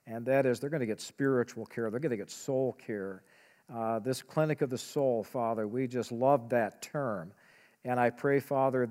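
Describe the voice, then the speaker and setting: A man leading a church service as pastor, praying and preaching in a measured way with frequent hesitant pauses. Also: mid-range voice, a little mellow. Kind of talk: church announcement, prayer and sermon. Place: church sanctuary. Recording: long church hall reverb.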